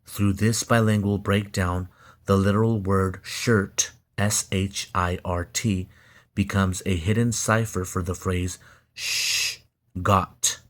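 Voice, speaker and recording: Flat, low-voiced man. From Mexican American. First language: Spanish. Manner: halting, repeats words and restarts. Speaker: video blogger. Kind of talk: reading a text aloud and commenting.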